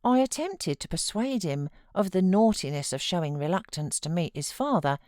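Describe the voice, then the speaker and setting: A British woman reading classic literature as an audiobook narrator, in a measured, expressive storytelling manner. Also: on the low side, no room sound, studio quality. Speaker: audiobook narrator, voiceover artist.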